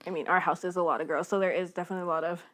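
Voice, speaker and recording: A twenty-five-year-old woman with a slightly low voice talks as a podcast guest. Her delivery is informal and conversational, with tentative phrasing. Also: steady tone, racing, a bit bright. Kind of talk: casual chat.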